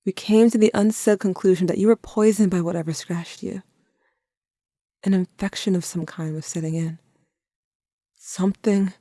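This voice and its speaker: A woman playing a brooding, guilt-haunted young narrator in an audio drama, speaking in a measured, confessional way. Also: lowish voice, dark tone, no echo, clean studio sound.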